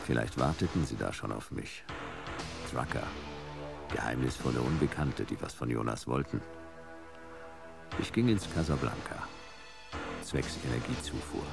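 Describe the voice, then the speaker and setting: An actor playing a laconic, hard-boiled future private detective, speaking in terse sentences in a noir radio play. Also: male voice, very deep voice, expressive, normal speed, creaky, pauses often, music in the background, heavily acted. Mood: terse, brooding. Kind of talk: noir first-person narration and radio play acting.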